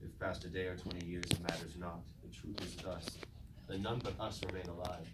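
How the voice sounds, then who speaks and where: Man acting a grave, low-voiced fallen robot king. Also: little pitch movement, a little fast, lightly acted. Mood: grave, reflective. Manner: stately, declamatory, archaic phrasing. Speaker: actor in a staged reading. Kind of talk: theatrical Greek-tragedy stage acting. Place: indoor room, staged reading at a university. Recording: distant, echoey recording, faint noise.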